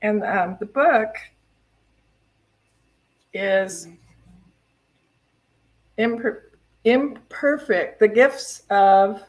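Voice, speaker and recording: A woman's voice: medium pitch, drawn-out words, pauses often, rough recording. A woman minister hosting a spiritual service with casual announcements and chatty, giggly asides.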